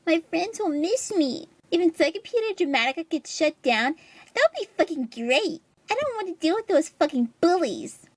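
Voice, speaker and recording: Woman doing a high-pitched whiny, vengeful online artist girl. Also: big pitch swings, normal speed, dry recording. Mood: bitter, vengeful. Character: whiny, aggrieved young female online artist, self-pitying and vengeful.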